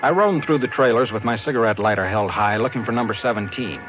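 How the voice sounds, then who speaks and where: An actor playing a brash, hard-boiled talent agent and narrator in a 1950s radio drama. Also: male voice, mid-range voice, quick pace, music in the background, close to an everyday voice, rough recording. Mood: wry, reflective. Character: brash, persistent male New York talent agent.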